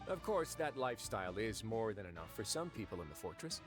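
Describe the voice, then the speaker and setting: An actor playing a composed male prison warden in a game, speaking in a calm, measured, lightly wry way. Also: lowish voice, over loud music.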